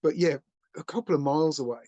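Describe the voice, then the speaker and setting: A middle-aged English man co-hosting a podcast, telling stories in a rambling, animated way. His voice sits fairly high for a man. The sound is dry and close. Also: quick pace, no echo, muffled recording. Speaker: podcast co-host. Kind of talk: casual chat.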